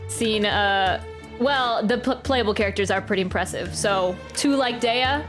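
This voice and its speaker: A woman's voice: mid-range voice, moderate pace. A woman giving casual, chatty live commentary while she plays a video game. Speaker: game streamer. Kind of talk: live gameplay reaction commentary; casual chat. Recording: game music behind the voice. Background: video game music.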